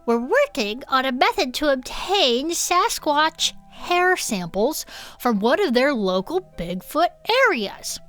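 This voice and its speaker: A woman's voice: high-pitched, very animated, slow, fairly bright tone, faint music behind, full character voice. A woman playing a cheerful, patient cryptid club archivist in chatty, improvised comedic audio fiction. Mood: storytelling. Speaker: podcast co-host.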